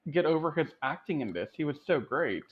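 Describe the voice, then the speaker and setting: A man's voice: fairly high pitch, sing-song, fairly quick. A man co-hosting a film review podcast in a casual, conversational discussion style. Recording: dull, lo-fi voice sound.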